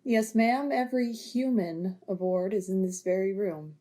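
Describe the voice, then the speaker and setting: A woman's voice: medium pitch, unhurried, mellow, natural voice. A woman voicing a breezy, teasing security chief who also narrates her own story in an amateur audio drama.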